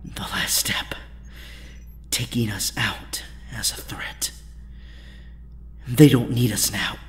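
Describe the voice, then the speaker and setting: A man playing a distraught young superhero in an audio drama, with a halting, broken delivery. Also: on the low side, fairly even intonation, fairly bright tone, in a whisper, long reverb tail. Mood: despairing.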